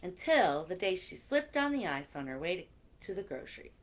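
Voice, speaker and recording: Lady doing kids story time, really lively up and down voice, talks right to the little ones. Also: lowish voice, normal speed. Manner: expressive, addressing young listeners directly. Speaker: children's librarian and story time host. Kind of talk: animated read-aloud for children with chatty asides.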